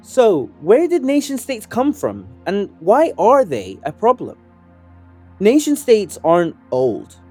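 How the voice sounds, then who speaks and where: A young adult man from Sudan, an organizer and activist, reading a scripted first-person essay in an earnest, measured, persuasive way. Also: fairly high pitch, dark tone.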